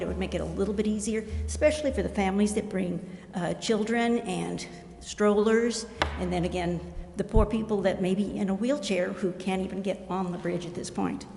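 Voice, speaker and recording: A woman's voice: mid-range voice, vocal fry, a little mellow. An older American woman giving informal, plain-spoken public comment at a meeting in a conversational way. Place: indoor council chamber. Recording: roomy, echoing council chamber with faint noise.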